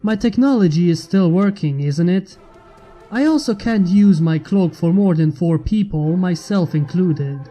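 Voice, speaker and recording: A nonchalant storybook inventor and leader, played by a man. The voice is mellow and measured, formal and coldly logical, over background music. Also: sits high, animated. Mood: calm, firm.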